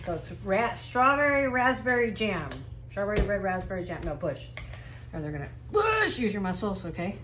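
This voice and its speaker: Off-camera woman, mid-pitch, coaching gently; phone audio in a roomy kitchen with steady clatter. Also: moderate pace. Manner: patient, coaching and explaining step by step. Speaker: family member filming and guiding a child cook. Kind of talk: casual instructional home cooking commentary. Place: home kitchen, indoors. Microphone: phone camera held by the speaker off screen. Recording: echoey kitchen with clear background noise.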